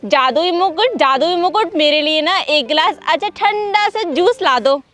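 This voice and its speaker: A woman's voice: extremely high pitch, lively intonation, measured pace, a bit bright, exaggerated voice. A woman playing a vain, self-centred young mother in a moral-story skit, with exclamatory, theatrical delivery. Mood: delighted, eager. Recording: music under the voice.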